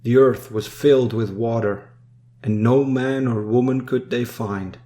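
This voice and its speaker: Slow-paced man reciting poetry. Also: medium pitch, steady tone, warm tone. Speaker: poem reader. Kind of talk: solemn scripted poetry recitation.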